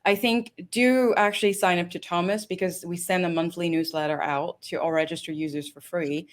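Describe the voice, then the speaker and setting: Interview guest: woman, Hong Kong accent, lower voice, earnest and thoughtful. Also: steady tone, moderate pace. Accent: Hong Kong English with London influence. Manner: thoughtful, earnest, with some restarts. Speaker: guest, industry director. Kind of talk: conversational interview answers.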